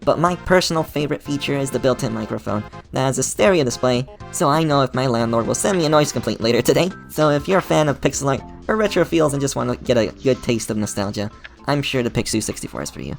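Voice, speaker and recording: An enthusiastic man narrates a scripted tutorial in a playful way. His delivery swings up and down with plenty of life, and his voice carries a gravelly fry. Soft music plays quietly underneath. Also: medium pitch, expressive, fast talker. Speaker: channel host.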